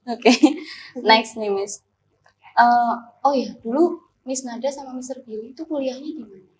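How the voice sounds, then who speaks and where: A woman with a Javanese accent hosting a casual chat, upbeat and playful, quick to react. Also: on the high side. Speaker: host, Javanese language teacher.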